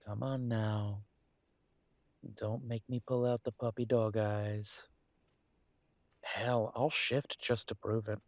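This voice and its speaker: Low, unhurried, acted affectionate male werewolf detective. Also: level tone, no room sound. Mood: coaxing, affectionate. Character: affectionate, world-weary werewolf detective, male, coaxing his partner. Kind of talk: intimate roleplay audio drama acting.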